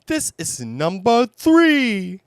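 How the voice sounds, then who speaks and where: A man voicing a cheerful, generous, simple-hearted male bear from a children's storybook, earnest and bright. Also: high-pitched, huge pitch swings, drawn-out words, clean tone, dry recording, clean studio sound, voice pushed up, full character voice.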